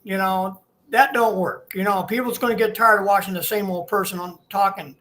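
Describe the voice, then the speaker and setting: A man in his sixties from Ohio, a guest telling his own story in a plainspoken, halting, folksy way over a video call. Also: high-pitched, steady tone, sharp tone. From Ohio, USA. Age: 60s.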